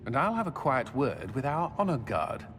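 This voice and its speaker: A sardonic army commander from a fantasy video game. His mid-pitched voice moves widely with exasperation. Faint music plays behind him. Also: big pitch swings, moderate pace, fairly bright tone. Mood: curt, sardonic. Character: gruff, exasperated male military commander. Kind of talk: video game dialogue acting.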